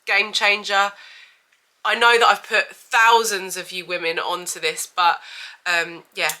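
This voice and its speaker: A woman's voice: medium pitch, level tone, measured pace, sharp tone. A woman in her thirties with a cold and a blocked-up, sniffly nose, chatting candidly to camera as a vlogger. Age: 30s. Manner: chatty and candid, with frequent fillers. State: has a cold. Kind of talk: casual chat to camera.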